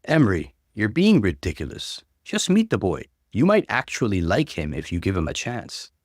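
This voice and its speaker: A man's voice: lowish voice, huge pitch swings, normal speed, dry recording, studio quality. A man voicing a pleading, exasperated middle-aged mother in a story, coaxing and persuasive.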